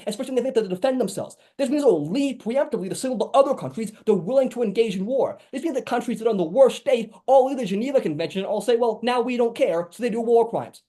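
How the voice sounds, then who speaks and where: A debate speaker finishing a competitive speech with rapid, clipped points. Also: medium pitch, mellow.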